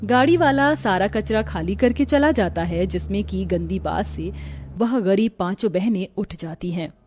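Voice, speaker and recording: A woman's voice: mid-range voice, lively intonation, normal speed, darkish tone, a little hiss or hum. A woman reading a scripted dramatic story as its narrator.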